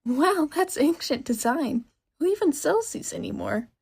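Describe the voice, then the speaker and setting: Woman putting on an excitable, high-pitched cheery shopkeeper. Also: unhurried. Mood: excited. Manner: exclamatory, eager, gushing.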